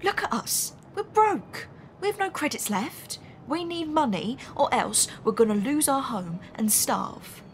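An outspoken young gambler on the run played by a woman in an audio drama. She is desperate and very expressive, with a fairly high voice over faint noise. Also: a little slow, hardly any pauses.

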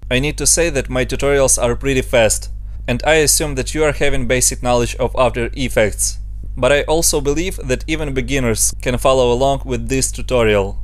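A man's voice: medium pitch, dry recording. Ukrainian-accented man, dry close voice. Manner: brisk, step-by-step instructions. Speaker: motion design tutorial host. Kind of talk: software tutorial narration.

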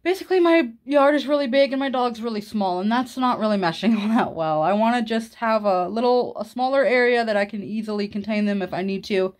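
A woman's voice: a little high, fairly quick, few pauses, darkish tone. A young adult woman vlogging at home, chatting casually and informally to the camera in a rambling way. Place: small room at home, indoors.

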